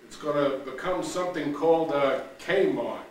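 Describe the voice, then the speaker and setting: A man doing a gruff fed-up father. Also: a little high, unhurried, a little hiss or hum, voice pushed up, close to an everyday voice. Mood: grumbling. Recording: roomy room, distant mic, faint ambience.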